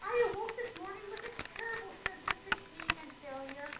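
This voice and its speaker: Young female séance medium for radio play, played by an actress: very high, solemn, theatrical, heard through heavy noise. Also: lively intonation, fast. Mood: confiding, weary. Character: confident theatrical young woman spirit medium. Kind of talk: amateur radio play acting. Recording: very noisy, tinny, echoey distant recording.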